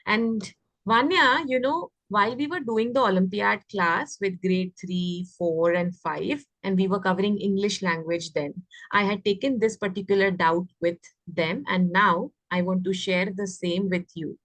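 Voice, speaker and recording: A woman's voice: medium pitch, a little slow, a bit bright, dry recording, rough recording. A woman with an Indian accent teaching children English grammar, explaining and often asking questions to check understanding. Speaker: teacher of an online class.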